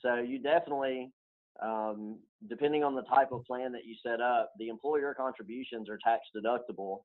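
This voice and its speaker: A man's voice: mid-range voice, fairly quick. A man giving explanations as an interview guest, heard over a tinny, narrow line. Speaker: guest investment advisor. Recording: tinny, band-limited phone-like line.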